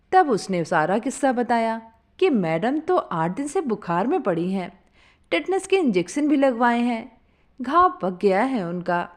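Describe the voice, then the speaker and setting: A woman tells a comic story in the first person with an expressive, chatty delivery. Her voice sits fairly high and swings widely in pitch. She takes her time, pacing the tale slowly. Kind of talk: humorous first-person story narration in character.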